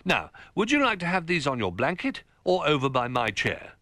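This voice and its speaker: A man voicing a kindly elderly toy bear from a storybook, gentle, polite and grandfatherly. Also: a little high, huge pitch swings, moderate pace, dry recording, clean studio sound, pitched above the performer's own voice, full character voice. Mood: genial, welcoming.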